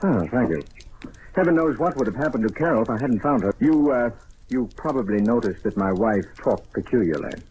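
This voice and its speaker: A man's voice: mid-range voice, lively intonation, brisk, darkish tone, close to an everyday voice, rough recording. A controlling, formal husband, played by a male actor in an old radio drama. His middling voice swings widely as he speaks in clipped, commanding phrases over faint noise. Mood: controlled, polite. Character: stern, controlling husband, formally polite to strangers. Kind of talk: old-time radio mystery acting.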